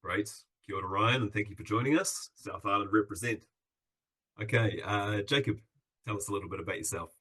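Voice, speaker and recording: A man's voice: on the low side, brisk, sharp tone, slightly rough, poor audio. A man with a New Zealand accent hosting a live video-call Q&A in an upbeat, chatty way, with plenty of fillers. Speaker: webinar host.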